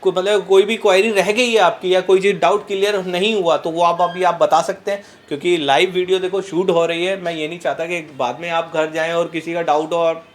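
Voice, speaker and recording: A man's voice: high voice, fairly even intonation, brisk, hardly any pauses. A man interviewing students in a repair training class, talking casually and repeating himself. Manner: chatty, repeats "so guys, as you can see".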